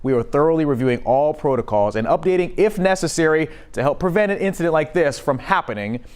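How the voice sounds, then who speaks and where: A man's voice: fairly high pitch, lively intonation, fast, darkish tone, clear, resonant tone. A man delivering scripted broadcast sports news as a reporter.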